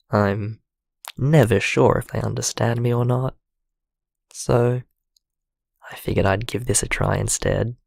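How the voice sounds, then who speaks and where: An actor playing a vulnerable, lonely man who leaves a halting, confiding, apologetic voicemail in a naturalistic audio drama. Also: mid-range voice, vocal fry, darkish tone, no room sound, clean studio sound.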